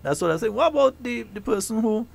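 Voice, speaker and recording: A man hosting a talk show, chatty and quick to laugh. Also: high voice, lively intonation, vocal fry. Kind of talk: casual talk show chat.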